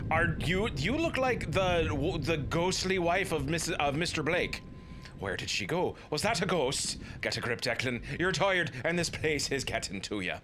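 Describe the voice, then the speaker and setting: A man's voice: mid-range voice, noisy background. A man in his thirties hosting a let's play, joking and commenting casually as he plays. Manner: playful, jokey, slips into silly voices.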